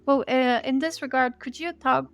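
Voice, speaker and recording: Higher-pitched woman hosting a podcast. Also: fairly even intonation, normal speed. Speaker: podcast host.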